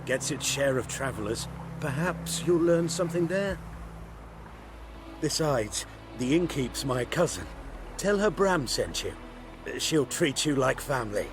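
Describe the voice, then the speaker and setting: A man's voice: mid-range voice, big pitch swings, a little slow, pauses often, noisy background. An actor playing a shaken, grateful village man in a video game cutscene, talking hesitantly but eager to help. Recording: game audio with music behind.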